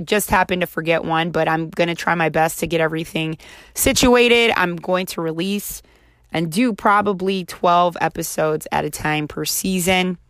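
Low-voiced raspy woman hosting a podcast. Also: fairly bright tone, no echo. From Houston, Texas. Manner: chatty, encouraging, self-reflective. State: raspy, recovering from laryngitis. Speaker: podcast host. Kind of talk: casual solo podcast chat.

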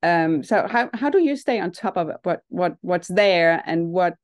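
A woman hosting a podcast interview, encouraging and quick to agree with her guest. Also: on the low side, animated. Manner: encouraging, frequent backchannel agreement, laughs along. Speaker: podcast host.

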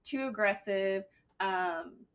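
A young woman speaks as a guest in a relaxed conversation. Her voice sits slightly deep and she talks candidly, with a dry sense of humor. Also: slow. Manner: candid, dry humor, mimics a bright work voice. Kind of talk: casual chat.

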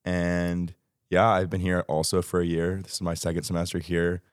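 A man in his twenties with a Texan American accent, talking casually as a guest about student life, relaxed and rambling with lots of "like" and "um". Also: low-pitched, moderate pace, smooth, no echo, studio quality. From United States. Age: 20s. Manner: relaxed, rambling with many likes and ums. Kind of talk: casual interview chat.

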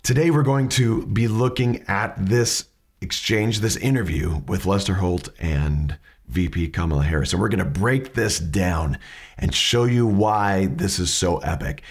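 A man hosting a lesson on asking questions, his voice raspy from hay fever, explaining step by step and often restarting his sentences. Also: deep voice, unhurried. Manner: methodical, restarts mid-sentence while explaining. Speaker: podcast host teaching questioning.

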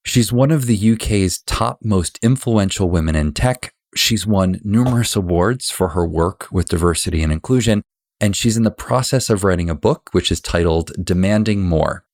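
A man's voice: low-pitched, normal speed, a little mellow, no echo, studio quality. A man hosting a workplace podcast, reading a scripted intro and then asking earnest, conversational questions. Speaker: podcast host.

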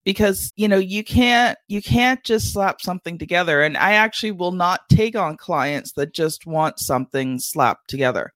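A woman's voice: fairly low pitch, expressive, normal speed, hardly any pauses, a bit bright, dry recording. A woman hosting an interview podcast, emphatic and upbeat as she steers the talk. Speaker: podcast host.

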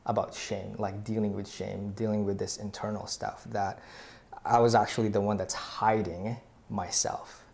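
Guest speaker: a Tagalog-native man with an earnest, halting delivery and a gravelly voice. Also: on the low side, little pitch movement, darkish tone. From Philippines. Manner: earnest, many fillers and restarts. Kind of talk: casual faith conversation, personal story.

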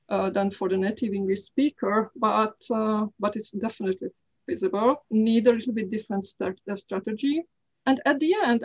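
A middle-aged woman from Hungary with a Hungarian accent, talking as an interview guest in careful, halting English with frequent restarts. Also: medium pitch, darkish tone, low-quality recording. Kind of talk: casual interview talk.

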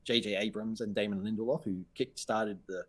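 A man's voice: fairly low pitch, steady tone, a little fast, husky. A man in his thirties co-hosting a casual podcast chat, playful and teasing, laughing often. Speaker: podcast co-host.

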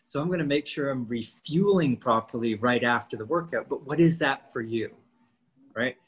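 A man's voice: medium pitch, normal speed, husky. A man in his fifties co-hosting a casual podcast, explaining training and nutrition with lots of rhetorical questions. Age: 50s.

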